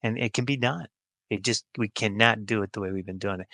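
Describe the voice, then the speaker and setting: A man's voice: lowish voice, a little fast, vocal fry, pushed and bright, no room sound, studio quality. A middle-aged or older American man answering interview questions in an informal, plain-spoken and emphatic way. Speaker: podcast guest, healthcare executive.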